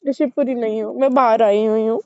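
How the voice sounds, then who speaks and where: A woman's voice: on the high side, fairly even intonation, fairly quick, darkish tone, slight background noise. A young woman speaking insistently and pleadingly, repeating herself in an emotional phone conversation. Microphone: phone call. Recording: dull lo-fi phone call recording.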